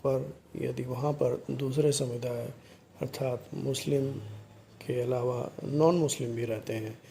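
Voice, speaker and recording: A man giving explanatory commentary to viewers in short, halting phrases, as the narrator of a video. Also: medium pitch, fairly even intonation, vocal fry, dark tone, slight background noise.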